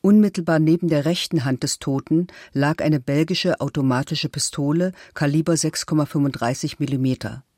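A man's voice: fairly high pitch, steady tone, normal speed, few pauses, a little mellow, no room sound, clean studio sound, lightly acted. A man playing an official who reads out crime-scene evidence soberly and exactly in a scripted documentary. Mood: sober, impersonal. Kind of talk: scripted documentary reading of a police report.

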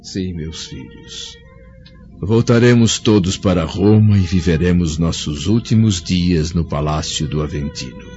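An actor playing an old, blind, tender Roman senator in a radio drama, speaking slowly and with feeling. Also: male voice, low-pitched, huge pitch swings, mellow, faint music behind, heavily acted. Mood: fatherly. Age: older. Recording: dull lo-fi audio with faint score.

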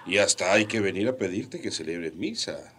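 A man's voice: sits low, big pitch swings, moderate pace, fairly bright tone, slight background noise. An actor playing a brash, scheming Spanish colonial captain in a radio drama, blustering and needling as he talks. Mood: teasing reproach.

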